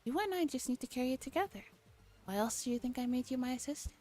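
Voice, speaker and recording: A woman's voice: sits high, expressive, quick pace, music in the background. A woman voicing a pompous, theatrical young man who bosses his lab around in a visual novel. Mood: bossy.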